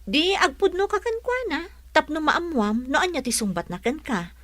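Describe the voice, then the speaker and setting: A woman with an Ilocano accent playing a warm, protective best friend in a radio drama. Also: high voice, lively intonation, moderate pace, no room sound. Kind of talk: Philippine radio drama acting.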